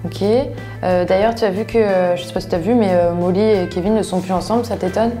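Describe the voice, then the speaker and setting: A woman's voice: mid-range voice, fairly even intonation, fast talker, mellow, faint music behind. A woman asking casual questions as an interviewer. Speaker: off-screen interviewer.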